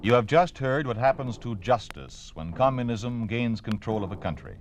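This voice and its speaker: A man's voice: mid-range voice, animated, moderate pace. An American man announcing in a scripted 1950s radio style, solemn and declamatory. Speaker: announcer, narrator. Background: music.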